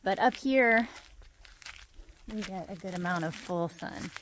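Relaxed woman touring her garden outdoors. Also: lowish voice, measured pace, a little hiss or hum, rough recording. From Idaho, USA. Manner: relaxed, chatty, trailing off between points. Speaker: homesteader giving a garden tour. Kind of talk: casual walking garden tour. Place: outdoor fenced garden on a rural property.

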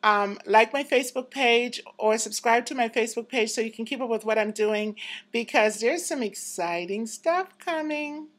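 A woman's voice: fairly high pitch, moderate pace. A woman in her fifties talking casually and reflectively to her viewers from her apartment, close to a handheld camera. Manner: chatty, reflective, laughs often. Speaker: vlogger. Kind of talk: casual personal vlog. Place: apartment room indoors.

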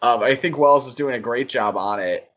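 A man co-hosting a podcast, giving casual critical commentary in a halting, thinking-aloud way. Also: mid-range voice, fairly bright tone. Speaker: podcast co-host. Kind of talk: casual critical comics discussion.

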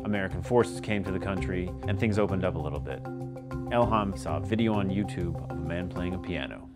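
Fast low-voiced male news narrator. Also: loud music behind the voice. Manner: crisp, emphatic delivery.

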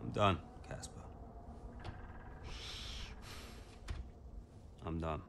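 An actor playing a proud, defensive racing driver in a video game cutscene, with a curt, halting way of talking. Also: male voice, a bass voice, gravelly, noisy background. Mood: resigned, proud.

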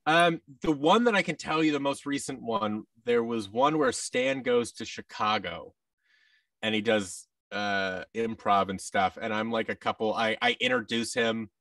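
An American man in his thirties chatting casually as an interview guest, in a halting, self-effacing manner. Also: on the low side, sharp tone, no room sound, distant mic. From United States. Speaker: guest, voice actor. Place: office room indoors, video call. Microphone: boom microphone and headset on a video call.

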